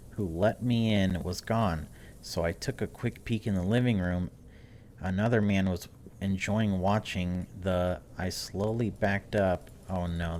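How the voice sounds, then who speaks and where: Low-voiced man doing gameplay commentary. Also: a little mellow, a little hiss or hum. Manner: dramatic reading broken by casual wry asides. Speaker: gaming video host. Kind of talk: gameplay commentary, reading on-screen story text aloud.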